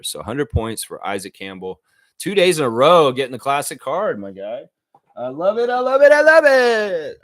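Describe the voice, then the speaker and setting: A man with a mid-pitched voice hosts a live sports fan show. He chats informally with viewers, thinking out loud as he goes. The sound is dry, with very little room echo. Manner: informal, conversational, thinking aloud. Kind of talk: casual live chat with viewers, reading comments aloud.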